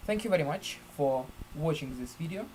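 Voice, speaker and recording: A man presenting a screen-share software tutorial in halting, deliberate phrases with formal, elaborate wording. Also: a little high, expressive, a little hiss or hum, low-quality recording.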